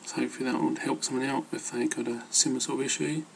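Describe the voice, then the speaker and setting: A man's voice: mid-range voice, little pitch movement, rapid-fire, creaky, a little hiss or hum. A man giving casual, rambling advice as an amateur fitness vlogger, hesitant and loosely worded.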